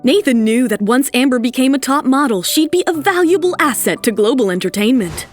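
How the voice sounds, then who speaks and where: A woman's voice: sits high, expressive, a little fast, soft background music. A woman narrating a scripted romance audio drama.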